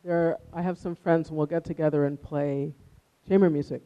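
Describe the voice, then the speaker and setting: A man's voice: a little high, steady tone, normal speed, dark tone, clear, resonant tone. A man giving reflective, conversational interview answers as a musician and music teacher, on a dull, lo-fi recording.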